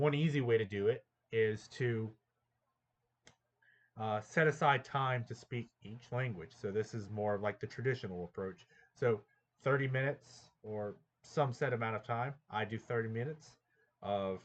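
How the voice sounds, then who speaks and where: Laid-back man with a muffled sound. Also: mid-range voice. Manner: relaxed, thinks aloud with frequent ums and "right?". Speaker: language learner sharing tips. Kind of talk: casual explanatory vlog talk.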